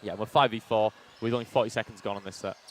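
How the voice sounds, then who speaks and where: A man with a loud voice doing colour commentary on a headset in a commentary box, chiming in with quick agreement and reading out viewer comments. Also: lowish voice, steady tone, a little hiss or hum. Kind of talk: live sports commentary. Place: commentary box beside an indoor court.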